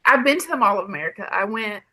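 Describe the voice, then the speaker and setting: A woman's voice: medium pitch, lively intonation, quick pace, sharp tone, no room sound. A woman in her thirties, a podcast guest, chatting casually and laughing often. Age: 30s. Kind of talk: casual interview chat.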